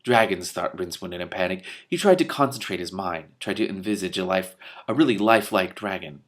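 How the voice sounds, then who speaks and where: A man reading a comic fantasy novel aloud in a lowish voice. He reads expressively, swinging between quiet and loud, and stops now and then for amused asides. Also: lively intonation, brisk. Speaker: reader and commentator.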